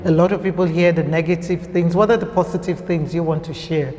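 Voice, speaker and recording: A woman's voice: fairly low pitch, steady tone, a little fast, dark tone. A woman hosting a talk show in a conversational interviewing style, often repeating answers back, recorded with echoey reverb.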